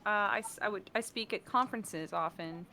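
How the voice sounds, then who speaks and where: A woman chatting casually as a guest on a stream, animated and self-mocking, often acting out little quotes. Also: medium pitch, brisk, slight background noise. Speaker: guest, voice artist and sound designer. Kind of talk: casual interview chat on a live stream.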